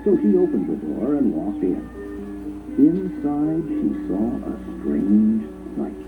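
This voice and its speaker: Male children's story narrator, dramatic and swooping in pitch, on a narrow tinny recording with music. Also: huge pitch swings, slow. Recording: muffled phone-like audio with music behind.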